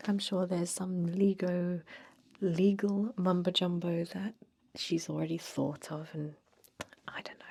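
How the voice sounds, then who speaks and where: Woman playing breathy whispering vampire co-worker. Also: on the low side, darkish tone, heavily acted. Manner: casual, gossipy, hesitant filler-laden asides. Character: chatty, cynical female vampire blood-lab worker training a newcomer. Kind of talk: whispered ASMR roleplay.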